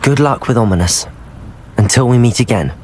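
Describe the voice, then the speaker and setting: A young man playing a cautious, level-headed teenage wizard student in a video game, earnest and measured. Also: medium pitch, expressive, a little mellow, a little hiss or hum, close to an everyday voice.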